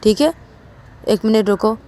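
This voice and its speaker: A woman's voice: mid-range voice, measured pace, mellow, a little hiss or hum, pitched above the performer's own voice, natural voice. A woman voicing a pushy, insistent young man in a told story, with a demanding, questioning delivery.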